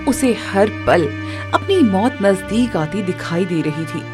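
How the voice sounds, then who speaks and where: A woman narrating a cartoon moral story in an expressive storytelling manner. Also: medium pitch, takes it slowly, over music.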